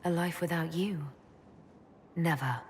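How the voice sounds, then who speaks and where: An actress playing a gentle, steadfast woman leader in love in a fantasy game, soft, measured and reassuring. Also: fairly low pitch, slight background noise. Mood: tender. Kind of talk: dramatic video game voice acting. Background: orchestral game music.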